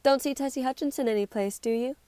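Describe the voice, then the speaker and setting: Woman playing a clear-voiced American town gossip. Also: high-pitched, quick pace, warm tone, no room sound, natural voice. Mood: sociable, gossipy. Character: gossipy, sociable small-town townswoman. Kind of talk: amateur stage-play acting.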